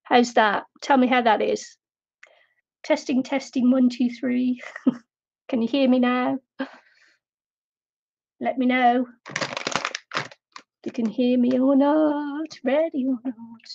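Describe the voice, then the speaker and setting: A woman's voice: high voice, steady tone, slow pace, gravelly, pauses often, darkish tone, lo-fi sound. A middle-aged woman chatting casually while crafting on a live stream, slightly nasal from a cold, rambling and giggling often. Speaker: craft vlogger.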